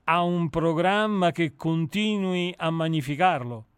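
A man's voice: on the high side, measured pace, clean tone, dry recording, clean studio sound. A male radio co-host who prods his partner with teasing questions in casual on-air chat.

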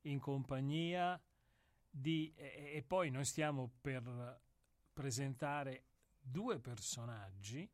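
A man co-hosting a radio programme, casual and playful, with a deliberate, mock-literary way of talking. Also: mid-range voice, no echo. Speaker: radio show co-host.

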